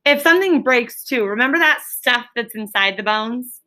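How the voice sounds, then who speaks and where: A woman's voice: a little high, lively intonation, moderate pace, bright, forceful tone. A woman teaching elementary school pupils in a classroom, prompting them with questions and repeating them, often checking with "right?".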